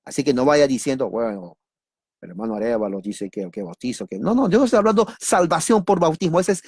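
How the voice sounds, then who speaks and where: A man from Peru with a Peruvian accent, teaching doctrine in a sermon, repeating key words and asking and answering his own questions, with a lively, joking manner. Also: on the high side, no room sound, clean studio sound. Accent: Peruvian Spanish. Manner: lively, jokes with listeners. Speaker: preacher.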